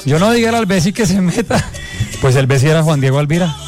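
Colombian guy cohosting radio, bit high voice, playful and slangy, music under him. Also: lively intonation, fast talker. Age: 30s. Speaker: radio show co-host. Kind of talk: casual radio banter. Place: broadcast studio. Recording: music playing behind.

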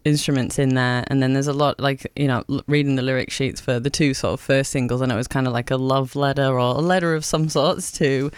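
A man's voice: medium pitch, fairly even intonation, fairly quick, hardly any pauses, smooth, no room sound. A man hosting a community radio show, interviewing a band in an upbeat, jokey, enthusiastic way. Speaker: radio host.

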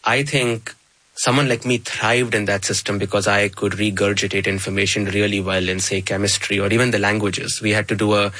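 Bright-voiced man, fairly low. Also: no echo. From Chennai, South India. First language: Tamil. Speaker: interview guest. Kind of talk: reflective conversational interview.

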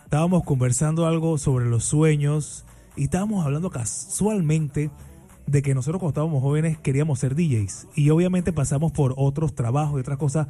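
A man's voice: on the high side, a little fast, warm tone, soft background music. A man hosting a radio show, chatting and affirming his guest in a studio booth. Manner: chatty, affirming, friendly banter. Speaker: radio host. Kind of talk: casual radio interview.

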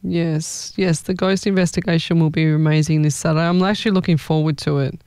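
A woman's voice: low voice, fairly even intonation, a little fast, a little mellow, clear, resonant tone, no room sound, studio quality. A woman hosting a casual live chat as a psychic medium, talking in an informal, exclaiming way.

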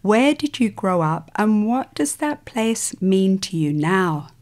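A woman hosting a program, reading a formal guest introduction and asking questions in a clear, composed way. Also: medium pitch, very animated, slow, a little mellow. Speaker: radio program host.

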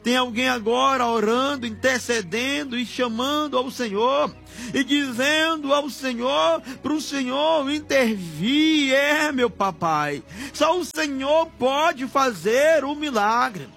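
Brazilian guy preacher praying hard, really high voice, slow pleading that builds to shouting, music behind him. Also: vocal fry. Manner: pleading, emotional, repeatedly swelling into shouted cries. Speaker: preacher leading prayer on a broadcast. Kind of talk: fervent extemporaneous Pentecostal prayer. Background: background music.

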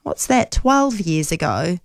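A woman's voice: fairly low pitch, very animated, slow pace, gravelly, no room sound, studio quality. A woman from Auckland with a New Zealand accent, hosting a live talkback phone-in in a chatty style. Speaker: talkback radio host.

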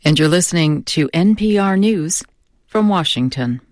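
A woman newscaster reading the hourly news in a crisp, even news read. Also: fairly low pitch, moderate pace, no echo, studio quality. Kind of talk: scripted newscast.

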